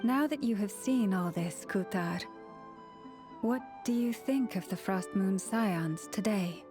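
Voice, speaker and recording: A woman portrays a gracious young priestess in a video game. She speaks slowly and formally, composed and courteous, as someone devoted to duty. Soft music plays faintly behind her. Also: mid-range voice, lightly acted. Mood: polite, gracious. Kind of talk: video game character acting.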